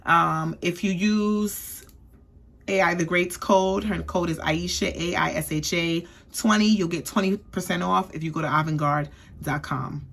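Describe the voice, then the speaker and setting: A woman's voice: lowish voice, steady tone, a little slow, vocal fry, fairly bright tone. A young woman from the East Coast giving a chatty, sassy perfume review, often checking in with "okay?". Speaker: beauty vlogger.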